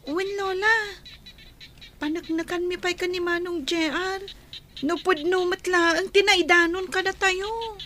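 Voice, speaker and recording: A woman's voice: very high-pitched, fairly even intonation, unhurried, bright, forceful tone, slight background noise, full character voice. A woman with an Ilocano Filipino accent playing an anxious, innocent young child in a radio drama, full of questions. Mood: anxious questioning.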